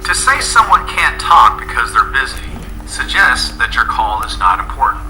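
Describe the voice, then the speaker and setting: Bright, expressive man presenting a lesson. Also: mid-range voice, a little hiss or hum, low-quality recording. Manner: measured, explanatory. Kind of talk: scripted instructional narration.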